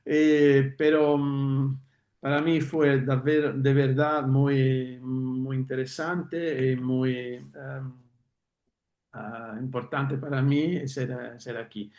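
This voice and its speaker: Italian accented guy on a zoom call, super slow, searching for words, echoey room. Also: medium pitch, little pitch movement, darkish tone. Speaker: guest speaker. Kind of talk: video call thanks. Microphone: video call. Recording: roomy video call audio.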